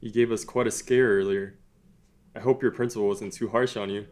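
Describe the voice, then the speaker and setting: A man's voice: lowish voice, steady tone, a little fast, darkish tone, natural voice. A man voicing an easygoing, confident teenage boy in a table read. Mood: calm. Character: calm, smooth teenage boy crush.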